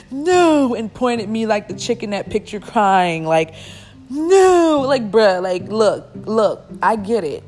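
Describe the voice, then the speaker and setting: Young woman on her podcast going off, curses a lot, super emphatic, faint music under it. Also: mid-range voice. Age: 20s. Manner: blunt, heavy swearing, animated and emphatic. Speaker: podcast host. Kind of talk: casual solo podcast rant.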